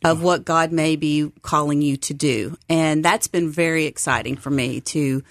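A middle-aged woman from Texas talks as an interview guest. Her voice sits a little low for a woman and sounds upbeat and earnest. The sound is close and dry. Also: fairly even intonation, normal speed, vocal fry, dry recording. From Texas, United States. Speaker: interview guest, seminary site coordinator. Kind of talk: conversational radio interview.

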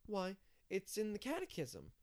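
A man's voice: high voice, wildly swooping pitch, a little slow, voice pushed up, heavily acted. A man voicing a naughty, earnest little boy in a storybook, with childish, pleading insistence. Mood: cheeky, insistent.

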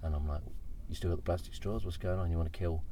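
A young English man with a soft southern accent talks in a low, deep register. He rambles through stories, stammering over restarts, with a faint hum of room noise behind him. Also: steady tone, quick pace. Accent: southern English, London-like. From Essex, England. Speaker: podcast guest, comedian. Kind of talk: casual chat.

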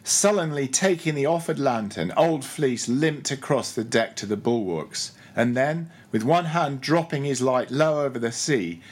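A man's voice: medium pitch, lively intonation, a little slow, hardly any pauses. A man reading literary prose aloud as an audiobook narrator, in a scripted storytelling style. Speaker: audiobook reader.

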